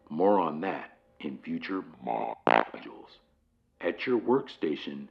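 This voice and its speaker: Man acting as eerily composed male company training narrator, very low voice, swooping intonation, formal, deliberate, analog horror. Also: highs cut off, full character voice. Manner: measured, formal, deliberate pauses.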